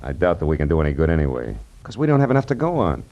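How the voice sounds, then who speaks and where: An American actor playing a wry, world-weary private detective in 1940s radio style, sardonic and full of dry delivery. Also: male voice, extremely low pitch, huge pitch swings, rapid-fire, dark tone, dull audio, heavily acted. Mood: businesslike. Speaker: actor, lead and narrator. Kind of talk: 1940s radio detective acting, narration and dialogue. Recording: old radio recording with music behind.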